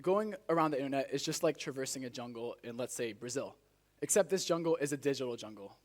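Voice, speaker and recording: A teenage man raised in Spain delivers a rehearsed speech to a live audience as a student contestant, with earnest, deliberate, rhetorical phrasing. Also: mid-range voice, expressive. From Spain, born in South Africa. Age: teenager, high school student.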